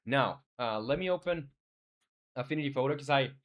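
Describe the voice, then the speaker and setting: A man's voice: medium pitch, expressive, brisk, lo-fi sound. Casual man chatting on stream. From Chile. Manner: informal, hesitant, reads viewer messages aloud and replies. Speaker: game developer live streamer. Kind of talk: casual livestream chat.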